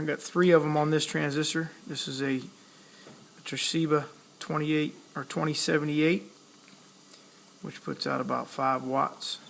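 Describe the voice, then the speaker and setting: A man's voice: medium pitch, vocal fry, fairly bright tone, slight background noise. A man giving a casual hands-on tutorial, talking plainly step by step as he works at a repair task. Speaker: electronics hobbyist demonstrating a repair.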